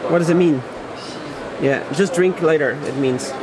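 A man's voice: on the high side, moderate pace, low-quality recording. Man interviewing casually amid crowd chatter. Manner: clipped, simplified English questions. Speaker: video interviewer. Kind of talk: casual on-the-spot product questions. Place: indoor exhibition hall booth. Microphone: behind the camera, on-camera mic. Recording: busy trade show crowd noise.

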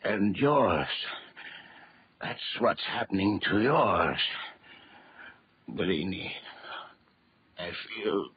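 An actor playing a frightened young American man in a halting, melodramatic 1930s radio horror style. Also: deep voice, sing-song, husky, exaggerated voice. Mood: anxious. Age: young adult. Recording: muffled, noisy 1930s radio broadcast.

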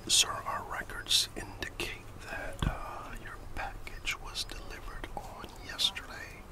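A man's voice: measured pace, far from the mic. A middle-aged American man whispering a casual, grumbling ASMR roleplay as a gossiping office employee, in a conspiratorial manner.

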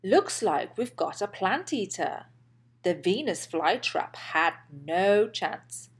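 A woman reads a picture book aloud for children with an animated, swooping delivery. She takes her time and stresses phrases deliberately. Her voice has a soft, breathy edge. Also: medium pitch. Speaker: host and children's storybook reader. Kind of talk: expressive read-aloud storytelling for children.

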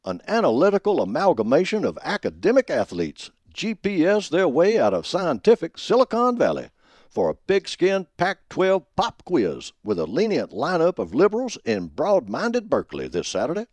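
A man performing as a folksy, pompous old football professor, giving grandiloquent scripted comic radio commentary in a husky voice. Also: a little high, wildly swooping pitch, normal speed, darkish tone, dry recording. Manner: folksy, grandiloquent alliterative delivery. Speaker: host of a college football prediction show.

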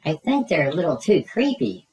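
A man's voice: a little high, sing-song, normal speed, darkish tone, voice pushed up. A man voicing a concerned, mildly fussy mother rabbit in a lilting parental manner, for a storybook. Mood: doubtful.

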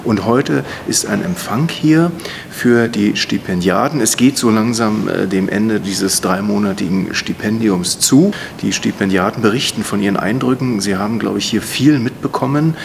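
A middle-aged man with a creaky, gravelly voice delivers a political statement to camera. He builds his sentences in measured, hesitant chunks over clearly audible rumbling background noise. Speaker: member of parliament. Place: indoors, in front of banner wall.

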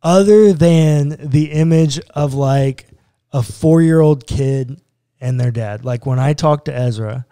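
Pastor guy walking through scripture on a podcast, mellow low-key tone, dry close mic. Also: takes it slowly, smooth, no room sound. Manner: earnest, explaining step by step with rhetorical questions. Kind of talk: teaching Bible podcast conversation. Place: small studio room with bookshelves. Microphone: boom mic close up.

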